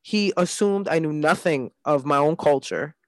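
A woman's voice: low voice, normal speed, no echo. A woman co-hosting a casual podcast chat, agreeable and sometimes searching for the right word. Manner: agreeable, searching for words as she talks. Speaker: podcast co-host.

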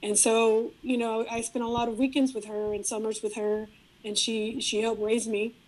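A middle-aged woman whose first language is Spanish, talking as a guest in a relaxed, reflective interview, often restarting her sentences. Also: fairly high pitch, moderate pace, rough recording. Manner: reflective, frequent restarts and fillers. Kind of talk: casual interview conversation.

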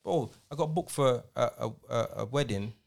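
A man's voice: mid-range voice, moderate pace, vocal fry, darkish tone. Slangy man in his forties. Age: 40s. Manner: slangy, emphatic storytelling. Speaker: podcast co-host, DJ. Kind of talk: casual chat.

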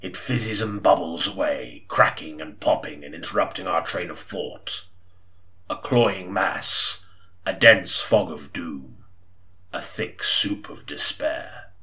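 An actor reading as a gloomy, melodramatic audiobook storyteller, brooding and dramatic. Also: male voice, low-pitched, slow, bright, forceful tone, exaggerated voice. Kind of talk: audiobook narration.

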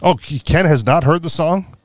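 A man's voice: on the high side, moderate pace, dry recording, studio quality. A man hosting a podcast in casual political chat, rambling and correcting himself with a wry tone. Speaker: podcast host.

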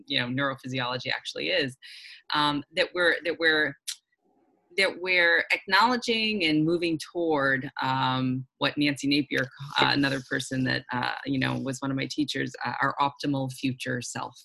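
A woman's voice: very deep voice, normal speed, gravelly, bright, forceful tone. A woman hosting a reflective conversation, thinking aloud with many fillers. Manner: thoughtful, searching, with many fillers.

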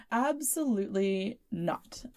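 A woman hosting a podcast, speaking in a measured, conversational way. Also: medium pitch, lively intonation, darkish tone. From United States. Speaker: podcast host. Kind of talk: podcast narration and chat.

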